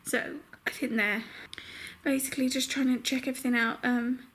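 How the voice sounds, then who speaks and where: A young pregnant woman talks to a handheld camera in her bedroom, rambling along with lots of fillers. Her fairly high voice has a gravelly, croaky edge, and a faint hiss sits underneath. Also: level tone, normal speed, fairly bright tone. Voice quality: croaky morning voice. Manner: chatty, with constant fillers. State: heavily pregnant. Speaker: vlogger. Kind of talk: casual vlog chat. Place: home bedroom.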